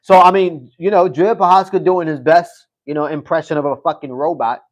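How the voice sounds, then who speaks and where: A man's voice: on the high side, fairly even intonation, brisk. A man hosting a live stream, chatting loosely as he rambles, repeats himself and swears now and then. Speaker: livestream host. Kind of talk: casual live-stream commentary.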